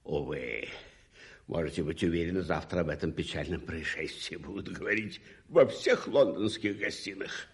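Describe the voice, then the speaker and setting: An actor playing an imperious, irascible English duke in a radio play, haughty and exclamatory. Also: male voice, low-pitched, expressive, moderate pace, creaky. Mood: pompous, gloomy. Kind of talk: 1980s Soviet radio play acting. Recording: noisy old radio recording.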